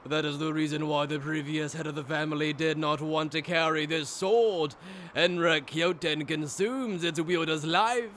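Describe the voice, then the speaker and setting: A cruel, treasonous nobleman played by a man in an animated-style drama. His voice sits fairly high for a man and drips with smug, theatrical mockery. The speech is wordy and taunting, over faint noise. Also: moderate pace, close to an everyday voice. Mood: gloating amusement. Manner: mocking, theatrical, verbose. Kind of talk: dramatic fan-dub voice acting.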